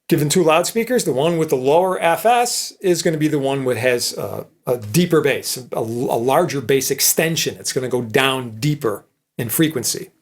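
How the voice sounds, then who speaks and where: A man giving an informal technical lecture in a conversational, explanatory manner, often checking understanding with short tag questions. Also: medium pitch. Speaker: electronics professor.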